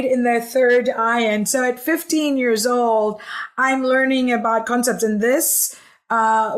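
A woman in her forties, from Hong Kong, speaks as a podcast guest with a fairly high voice. She tells her story in an enthusiastic, chatty way, sprinkled with "like" and "um". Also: fairly bright tone. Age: 40s. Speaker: podcast guest, healing coach. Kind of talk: conversational storytelling interview.

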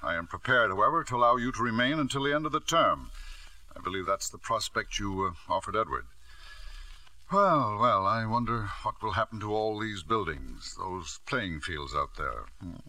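A ruthless knighted tycoon. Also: male voice, on the low side, lively intonation, normal speed, bright, forceful tone, no echo, full character voice. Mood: cool menace. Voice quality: crisp, cool, sardonic. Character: ruthless self-made millionaire father, knighted. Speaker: narrator and lead character. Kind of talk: 1940s radio acting. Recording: dry old radio broadcast.

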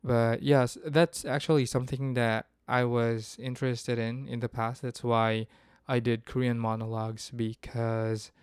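A man's voice: medium pitch, level tone, unhurried, clear, resonant tone, dry recording, studio quality. A man from the Philippines, hosting a casual solo podcast and thinking aloud with frequent restarts. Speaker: podcast host.

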